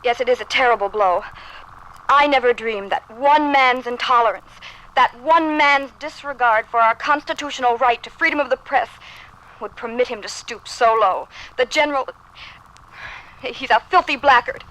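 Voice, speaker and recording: A woman's voice: a little high, normal speed, a bit bright, lightly acted, poor audio. An actress playing a resolute, indignant 1850s woman newspaper editor with formal, righteous delivery in a 1950s radio drama. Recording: 1950s radio broadcast from vinyl record.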